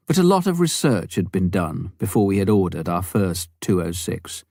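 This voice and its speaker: A man's voice: on the low side, darkish tone, no echo, studio quality. A man narrating a memoir as a scripted audiobook reading.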